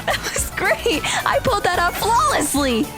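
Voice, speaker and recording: A woman's voice: very high voice, sing-song, moderate pace, low-quality recording. A woman playing a cheeky, sarcastic, stubborn teenage girl in an audio drama. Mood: playful, boastful. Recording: music bed under the voice.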